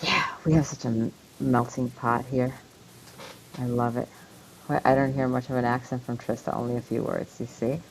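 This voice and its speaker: A woman's voice: very deep voice, fairly even intonation, normal speed, a little hiss or hum, low-quality recording. A woman with a New Jersey accent hosting a podcast in casual group chat. She rambles and laughs often as she talks. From US East Coast. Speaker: podcast host.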